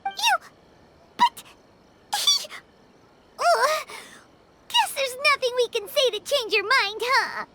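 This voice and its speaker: A tiny flying female sidekick from a video game, blunt and excitable. Her voice sits extremely high and bounces wildly up and down. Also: slow pace, pauses often, heavy background noise, heavily acted. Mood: exasperated. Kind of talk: video game dub acting.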